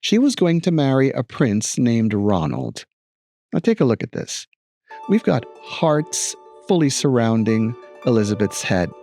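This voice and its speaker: A middle-aged man teaches through a picture book read-aloud. His voice is mellow and very animated in pitch, with deliberate emphasis and wry asides as he comments on the story. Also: soft background music.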